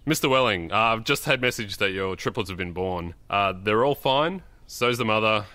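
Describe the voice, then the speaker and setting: A man's voice: fairly low pitch, animated, moderate pace, pushed and bright, dry recording. A man acting a genial, patronizing doctor who lectures in an audio drama. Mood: cordial. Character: affable, lecturing male doctor, centuries old yet youthful. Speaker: actor.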